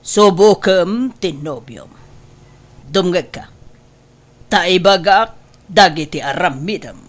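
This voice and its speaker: A woman's voice: on the low side, expressive, slow pace. A middle-aged woman with an Ilocano accent playing a scheming, disapproving mother in an audio drama. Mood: stern, scheming. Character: scheming, wealthy mother, disapproving of daughter's boyfriend.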